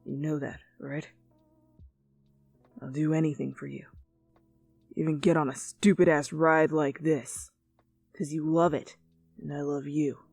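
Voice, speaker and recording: A woman acts out a gruff, blunt young man in a romantic story reading. He murmurs low and tenderly, rough around the edges. The sound is very dry, with faint music behind. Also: level tone, measured pace, pauses often, a little mellow, dry recording, full character voice. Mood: gruff affection. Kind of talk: dramatic fan fiction reading.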